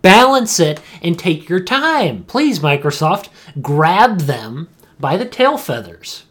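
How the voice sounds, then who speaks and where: A man's voice: high-pitched, very animated, slow, fairly bright tone. A young adult man co-hosting a podcast, chatting casually and opinionatedly, repeating phrases emphatically for stress. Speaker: podcast co-host.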